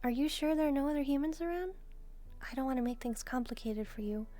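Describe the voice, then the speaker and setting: A woman's voice: sits high, fast, dark tone, faint music behind, natural voice. A woman voicing a sweet, curious young demon maid, chatting politely and a little hesitantly to the listener in a roleplay. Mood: excited, curious.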